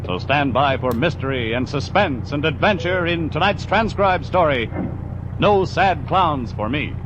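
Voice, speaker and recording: A man's voice: on the high side, expressive, measured pace. An American man as a formal, emphatic announcer introducing a show in 1940s network radio style. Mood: dramatic. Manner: formal, emphatic promotional delivery. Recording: dull old broadcast with vehicle noise.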